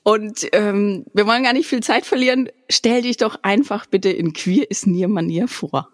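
A woman hosting a podcast interview, friendly and casual, often pausing on fillers. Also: medium pitch, no room sound, studio quality. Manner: friendly, hesitant with frequent fillers. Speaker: podcast host.

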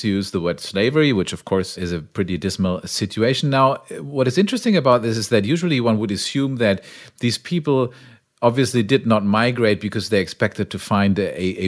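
Lower-voiced man asking reflective questions. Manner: thoughtful, posing long reflective questions. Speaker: podcast host. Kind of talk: academic podcast interview.

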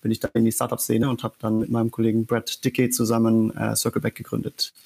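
A startup co-founder from Germany explains his business as a podcast guest. His voice is gravelly with vocal fry, his pitch sits mid-range, and he talks fluently with an occasional filler. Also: monotone, fast, darkish tone.

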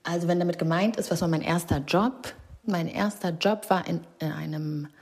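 A woman from Rwanda answering interview questions in a measured way, often pausing with fillers. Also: fairly low pitch, darkish tone, husky. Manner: measured, with frequent hesitation fillers.

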